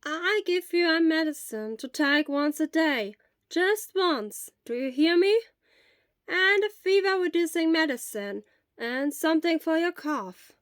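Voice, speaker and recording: A woman voicing a kindly, brisk elderly school nurse who speaks in a slow, deliberate way. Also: very high-pitched, lively intonation, dry recording, studio quality, pitched above the performer's own voice. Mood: insistent, caring.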